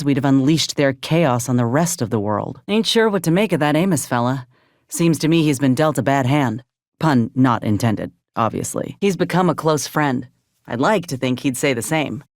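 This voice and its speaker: A woman's voice: extremely low pitch, expressive, normal speed, darkish tone, no echo, studio quality. A woman playing an earnest, loyal frontier soldier in a video game, speaking plainly and with measure. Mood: grim, resolute, then reflective, fond. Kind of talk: video game companion dialogue.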